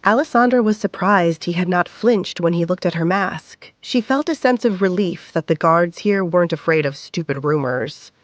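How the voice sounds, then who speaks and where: A woman's voice: fairly low pitch, moderate pace, hardly any pauses, no echo, lo-fi sound. A woman narrating a scripted audio drama as a storyteller.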